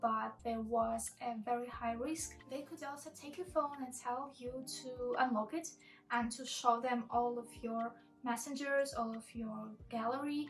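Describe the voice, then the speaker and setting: A woman's voice: fairly high pitch, fairly even intonation, a little slow. A woman in her twenties from Russia, speaking English with a Russian accent, telling a personal story to camera in an earnest, plain way. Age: 20s. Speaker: vlogger. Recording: voice a bit far from the mic, soft music behind.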